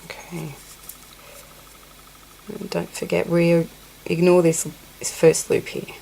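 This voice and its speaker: Sniffly low-voiced woman teaching crochet. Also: completely flat delivery, slow, vocal fry, warm tone, a little hiss or hum, rough recording. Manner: counts stitches under her breath, apologetic asides. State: sniffly with a cold. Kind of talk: hands-on craft tutorial. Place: indoors at a table, close-up on her hands.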